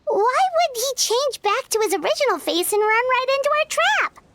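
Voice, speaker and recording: A woman voicing a small, excitable childlike female character in a video game. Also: extremely high pitch, normal speed, very noisy. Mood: indignant.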